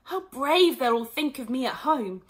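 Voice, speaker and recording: A woman's voice: sits high, sing-song, moderate pace. A woman voicing a curious, chatty young girl who muses and wonders to herself in a storybook reading. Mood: impressed, boastful. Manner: wondering, musing, talking to herself.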